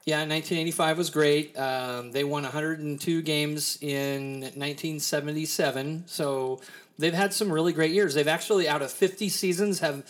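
A man's voice: a little high, fairly even intonation, normal speed. A man from Kansas City talks loosely as the host of a casual podcast. He rambles, cracks jokes and swears without a second thought. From Kansas City, Missouri. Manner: rambling, joking, swears freely. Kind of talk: casual chat.

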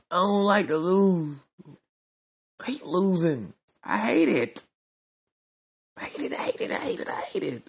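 A man's voice: high voice, very animated, a little slow, vocal fry, pauses often, breathy edge. A man hosting his own podcast, delivering a joking, repetitive sports rant. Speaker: podcast host.

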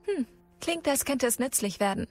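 A woman's voice: high-pitched, expressive, normal speed, faint music behind. An actress playing a polite, eager teenage girl, a new pupil of witchcraft, in a German audio drama. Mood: polite, keen.

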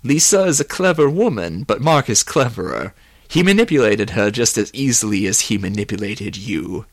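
A man voicing a calm, persuasive manipulator with a measured, insinuating delivery in an audiobook. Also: fairly low pitch, no echo, studio quality. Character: calm, persuasive manipulator coaxing toward revenge. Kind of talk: audiobook character voice.

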